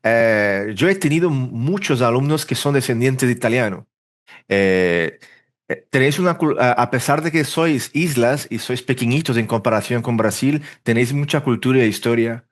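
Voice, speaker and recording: A man from Brazil, a native Portuguese speaker, being interviewed in a relaxed way, thinking aloud with restarts and fillers. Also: medium pitch, moderate pace. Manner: rambling, self-correcting, thinks aloud with fillers. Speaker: interpreter and language teacher, podcast guest. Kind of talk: casual interview conversation.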